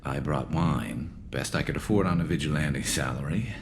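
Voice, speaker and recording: A man voicing an awkward, earnest alien soldier in a video game, gravelly, hesitant and dryly wry. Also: rumbling low, normal speed, slight background noise. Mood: nervous, tender. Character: awkward, earnest male alien soldier, battle-scarred and loyal.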